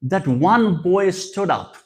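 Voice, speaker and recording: A man delivers a religious lecture at an unhurried pace. His voice sits high and moves widely in pitch. He circles back on key phrases for emphasis as he tells personal stories. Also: animated. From Pakistan. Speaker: guest speaker at a religious gathering.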